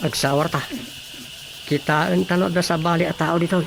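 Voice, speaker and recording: A woman's voice: on the low side, steady tone, normal speed, vocal fry, noisy background. A woman with an Ilocano Filipino accent voicing a bewildered husband in a radio comedy drama.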